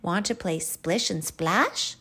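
A woman voicing an eager, playful little boy hippo, excitable and childlike, in a children's bedtime story. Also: fairly low pitch, slow pace, breathy edge, exaggerated voice. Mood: excited, playful.

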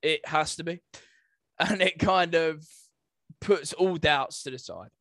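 A man's voice: on the high side, slow pace, fairly bright tone, no echo, clean studio sound. A man talking casually as a co-host on a podcast. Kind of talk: casual chat.

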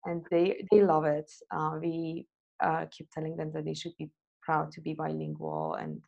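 A woman in conversation with a Persian accent and a low, gravelly voice full of vocal fry. She talks hesitantly, feeling for her words in a casual interview. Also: flat delivery, normal speed, dull audio.

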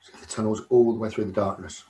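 A man leading a guided meditation in a soothing, unhurried manner with frequent pauses. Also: fairly low pitch, fairly even intonation, warm tone. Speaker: meditation teacher.

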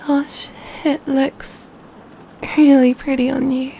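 Female performer as gentle, affectionate vampire lover for ASMR roleplay; mellow, hushed, high-pitched delivery, faint background noise. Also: little pitch movement. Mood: excited, affectionate. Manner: tender, slow, hushed, softly giggling delivery. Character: gentle, doting female vampire pampering her adopted catgirl lover. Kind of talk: soft-spoken ASMR roleplay.

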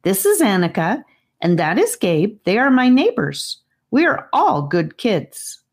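A woman voicing an excitable, curious young boy in a storybook reading. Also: medium pitch, a little slow, voice pushed up. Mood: excited, friendly.

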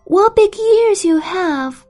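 A woman voicing an innocent, trusting young girl in a storybook reading, with a high childlike voice. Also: animated, slow pace, a little mellow, faint music behind, full character voice.

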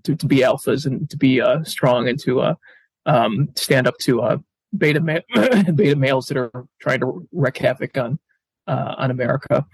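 A man's voice: mid-range voice, moderate pace, breathy edge, dry recording, low-quality recording. A man speaking casually as a podcast guest, stammering and often repeating words as he goes. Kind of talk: casual interview talk. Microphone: video call.

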